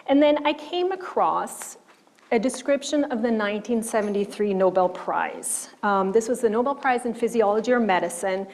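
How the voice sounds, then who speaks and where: Swiss-born woman historian, middle-aged, lecturing from slides, measured and explanatory, slightly high voice. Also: a little mellow. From German-speaking Switzerland. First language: Swiss German. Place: lecture hall.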